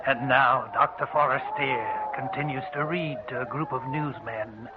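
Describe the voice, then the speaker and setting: An actor playing an eerie, cackling old hermit who tells horror stories with theatrical, gleeful menace. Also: male voice, medium pitch, wildly swooping pitch. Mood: sinister glee. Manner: theatrical, gleefully sinister, drawn-out delivery. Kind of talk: 1940s radio horror hosting. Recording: noisy old radio broadcast, phone-like band.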